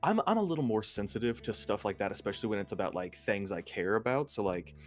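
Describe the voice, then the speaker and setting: A man's voice: lowish voice, lively intonation, quick pace. A man chatting casually as a guest on a livestream, a bit hesitant and self-deprecating. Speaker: ASMR content creator, stream guest. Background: music.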